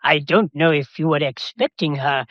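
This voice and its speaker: A woman's voice: deep voice, moderate pace, no room sound, dull audio, close to an everyday voice. A woman playing a polite, hesitant household servant in a period drama, apologetic and deferential. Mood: deferential, uneasy. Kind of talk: period radio drama acting.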